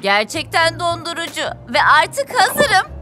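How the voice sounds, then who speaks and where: A woman's voice: extremely high pitch, wildly swooping pitch, a little slow, music in the background, full character voice. A woman playing a bubbly, welcoming living frozen treat in a children's cartoon, playful and giggly.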